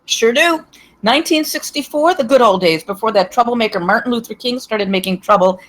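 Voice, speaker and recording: A woman's voice: on the high side, fairly quick, lightly acted, poor audio. Cranky old grandma, blunt and opinionated, acted by a woman, for a comedy script read. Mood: cranky, indignant. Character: bigoted, cranky old grandmother landlady, nostalgic for 1964.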